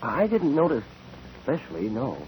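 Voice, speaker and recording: A man's voice: mid-range voice, big pitch swings, normal speed, a little mellow, close to an everyday voice. An actor playing a hesitant, sheepish young male witness in a 1940s radio drama. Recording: noisy vintage 1940s radio recording.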